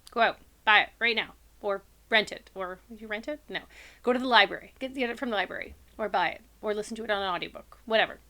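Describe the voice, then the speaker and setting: A woman's voice: mid-range voice, fast talker, pushed and bright. A woman podcast co-host giving a casual, personal review of a book.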